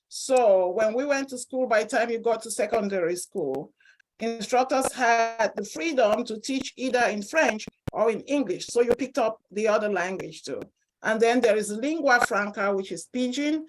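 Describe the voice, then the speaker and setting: A woman's voice: a little high, rough recording. Cameroonian-accented woman giving an academic talk. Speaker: associate professor, seminar speaker. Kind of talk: academic presentation, personal and informal.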